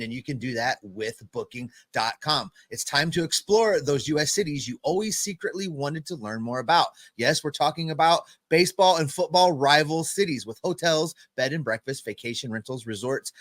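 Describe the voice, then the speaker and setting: A man's voice: medium pitch, fairly bright tone, no echo. A man co-hosting a sports podcast who reads ads and jokes loosely with his partner. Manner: joking, loose and talky. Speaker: podcast co-host. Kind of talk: casual sports podcast chat and host-read ad.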